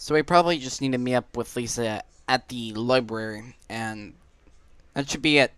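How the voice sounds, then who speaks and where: A man's voice: mid-range voice, normal speed, fairly bright tone, smooth, no echo. A man giving casual game playthrough commentary, thinking aloud as he goes. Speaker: gaming video maker. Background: faint game music.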